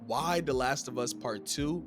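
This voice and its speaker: Slightly raspy young man reviewing games. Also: medium pitch, measured pace, over music. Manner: earnest, opinionated, steady delivery. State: slightly raspy from being sick. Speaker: video game review host. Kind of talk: scripted game review read aloud.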